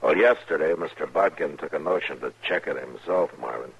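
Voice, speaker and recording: An actor playing a stoic, watchful, world-weary frontier marshal with an American accent and a terse, grave manner, in a 1950s radio drama. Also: male voice, rumbling low, brisk, gravelly, husky, heavily acted. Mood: grave, probing. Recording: noisy, dull old radio recording.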